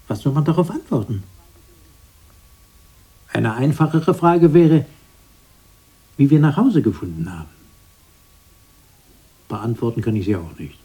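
A haunted brother in a radio play, bitter about his childhood. The voice is husky and muted, and it swings widely between brooding murmurs and sharp intensity. Phrases come out broken. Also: medium pitch, sing-song, takes it slowly, pauses often, heavily acted. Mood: brooding, remembering. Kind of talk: radio drama acting.

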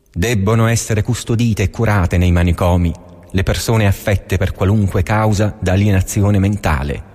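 An actor playing a solemn official who formally proclaims an old royal law in a measured, declamatory manner. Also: male voice, fairly low pitch, darkish tone, natural voice. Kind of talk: formal proclamation read aloud. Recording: long reverberant hall echo.

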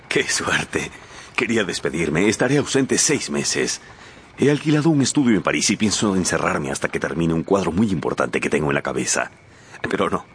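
A man's voice: low voice, a little hiss or hum. An actor playing an earnest, anxious painter troubled over his friend's morals, solemn and pleading, in a radio drama. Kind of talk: Spanish-language radio drama acting.

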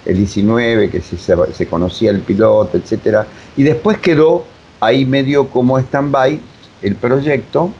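An older man with an Argentine Spanish accent answers interview questions conversationally, in a halting, digressive way, often repeating himself. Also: warm tone. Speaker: interviewed theater director and voice teacher. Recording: dull sound with faint rumbling noise.

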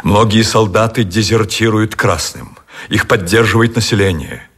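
An actor playing a blunt, disillusioned army general in a radio drama, reporting tersely and formally with an edge of scorn. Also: male voice, fairly low pitch, moderate pace, fairly bright tone, slightly rough. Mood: grim.

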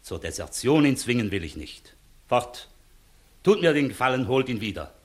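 A man's voice: mid-range voice, sing-song, normal speed, natural voice. Authoritative senior official, played by a man, stern and dignified, widely swinging intonation, 1960s radio drama. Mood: composed, commanding. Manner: authoritative, clipped commands. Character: stern, dignified visiting court inspector, exasperated authority. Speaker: actor. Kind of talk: 1960s German radio play acting.

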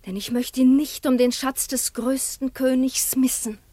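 Proud young princess, dry close sound. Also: female voice, high-pitched, steady tone, unhurried, mellow, no echo. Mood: agitated, worried. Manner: formal, declamatory. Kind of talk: classic German radio drama acting.

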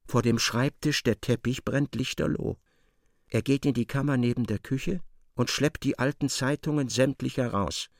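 An actor narrating a literary radio play as a grave, intense storyteller with deliberate delivery. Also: male voice, medium pitch, creaky, dry recording, studio quality.

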